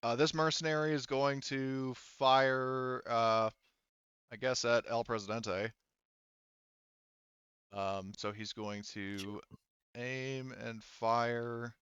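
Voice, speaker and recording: A man's voice: medium pitch, fairly even intonation, slow, pauses often, a bit bright, clear, resonant tone, no echo, lo-fi sound. A man acting as game master in a tabletop roleplaying session, calling rules and narrating action off the cuff in casual, sweary language. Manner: casual, profane.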